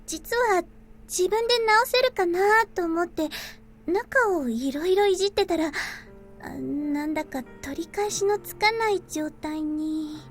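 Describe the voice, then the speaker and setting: Casting: petite, cutesy, courteous game maid, sky-high voice, fast speech over music. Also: female voice. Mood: polite, earnest. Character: cheerful, childish-faced petite young adult maid woman, polite. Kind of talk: Japanese visual novel voice acting. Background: background music.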